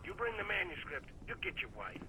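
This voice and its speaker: A man's voice: a little high, wildly swooping pitch, brisk, creaky, noisy background, heavily acted. An actor playing a menacing kidnapper in a video game, taunting and bullying as he speaks.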